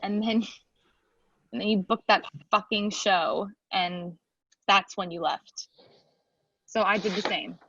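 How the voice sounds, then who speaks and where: A woman playing a hurt, blunt lawyer speaking to an ex in a naturalistic screenplay table read, halting and emotional. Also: mid-range voice, steady tone, fairly bright tone, muffled recording. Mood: hurt, reproachful. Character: hurt, blunt ex-partner, a hard-working lawyer.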